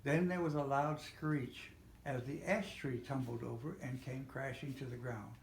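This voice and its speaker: An older man reading a children's storybook aloud, a little haltingly, with small slips and self-corrections. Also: mid-range voice, steady tone, creaky. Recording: roomy, echoey home recording with faint noise.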